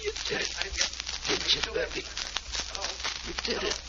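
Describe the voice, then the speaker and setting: An actor playing a wheedling small-time gangster boyfriend in an old radio crime drama. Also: male voice, unhurried, lightly acted. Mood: wounded, bitter. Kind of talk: 1940s radio detective drama acting. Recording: very noisy, muffled, distant radio recording.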